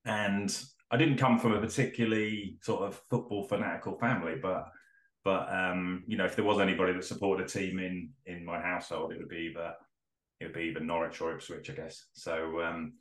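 A man's voice: low voice, little pitch movement, fairly quick, reverberant, low-quality recording. A middle-aged man from Norfolk with a Norfolk accent, a podcast guest chatting casually and rambling with lots of fillers and restarts. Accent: Norfolk English. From England (Norfolk). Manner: rambling, self-correcting, many fillers.

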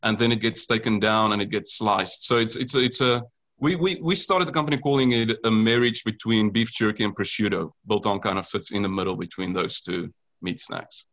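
A man's voice: fairly low pitch, brisk, a bit bright. South African man explaining his business. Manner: earnest, explanatory, with frequent ums. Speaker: guest, company founder. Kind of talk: podcast interview.

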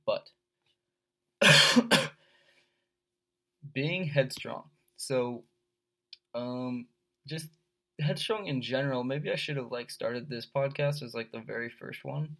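A man's voice: medium pitch, fairly bright tone. A young man from with a head cold and sore throat, hosting his own podcast in a rambling, laid-back way full of fillers. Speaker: podcast host. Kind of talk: casual solo podcast chat.

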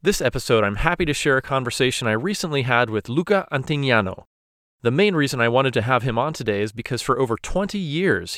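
A man's voice: mid-range voice, fairly bright tone, no room sound. Dry-sounding male podcast host. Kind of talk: scripted intro then interview questions.

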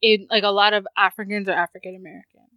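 A woman's voice: mid-range voice, flat delivery, very fast, sharp tone, no room sound. A woman speaking informally as a panelist in a casual group discussion. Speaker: podcast panelist.

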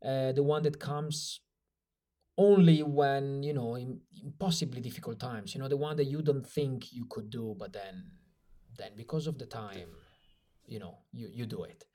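Mellow, earnest man co-hosting. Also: mid-range voice. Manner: earnest, thoughtful, pausing with fillers. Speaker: podcast co-host. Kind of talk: casual podcast chat.

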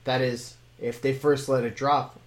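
A man's voice: mid-range voice, little pitch movement, unhurried, natural voice. Man voicing a wry talking sword. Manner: formal, wry, commanding. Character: talking sword, former male blade master, wry.

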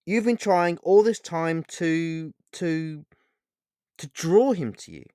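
A man voicing a distraught man in a fantasy story, pleading and accusing with emotional, halting speech. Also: on the high side, warm tone, clean tone, no room sound, studio quality, pitched above the performer's own voice. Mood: insistent, realizing. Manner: emotional, halting, repeating words. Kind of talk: dramatic fantasy storybook dialogue.

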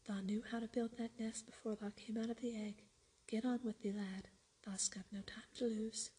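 A woman's voice: fairly high pitch, level tone, normal speed, husky. A woman whispering as Dickon, a kind Yorkshire farm boy. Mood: gentle. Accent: Yorkshire dialect. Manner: whispered, gentle.

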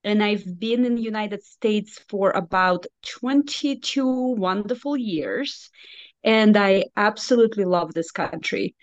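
A woman's voice: medium pitch, measured pace, no echo. Belarusian-accented woman, dull lo-fi line. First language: Russian. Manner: earnest, warm, emphatic. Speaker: guest nurse coach. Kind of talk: casual interview chat.

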